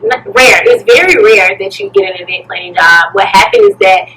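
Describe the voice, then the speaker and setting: A woman tells her story casually and with animation. Her voice is bright and forceful. She is a little away from the mic, with faint ambient noise behind her. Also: mid-range voice, lively intonation, moderate pace. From Birmingham, Alabama, USA. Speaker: interview guest, pole studio owner and event planner. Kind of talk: casual chat. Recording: slightly distant mic, noisy room ambience.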